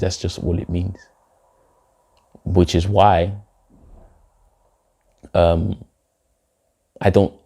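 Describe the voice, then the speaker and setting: A young man from Denmark, a poet, giving reflective, measured interview answers. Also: sits low, mellow. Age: young adult. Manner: reflective, measured, with filler phrases. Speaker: poet and guest. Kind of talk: spoken word poem, then interview answers.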